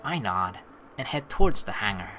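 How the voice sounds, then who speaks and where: A man narrates a game in an animated voice with lively swings in pitch. He slips between reading text aloud and loose, sweary, rambling commentary, with game music clearly playing behind him. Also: normal speed, rough recording. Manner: profane, rambling, theorizing. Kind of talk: casual gaming commentary mixed with read-aloud narration.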